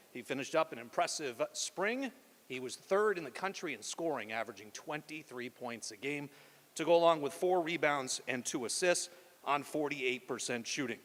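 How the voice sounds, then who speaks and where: A male moderator reads scripted welcomes and announcements in a polished announcer style. His voice sits a little high, and it rings through a large, echoing hall. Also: a bit bright. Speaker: press conference moderator, radio play-by-play announcer. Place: large indoor arena press conference. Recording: echoey hall with long reverb.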